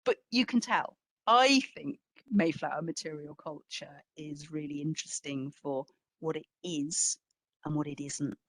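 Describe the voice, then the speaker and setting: A woman speaking informally as a guest curator answering questions, with an animated, self-deprecating, emphatic manner. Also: low voice, a little slow, dry recording.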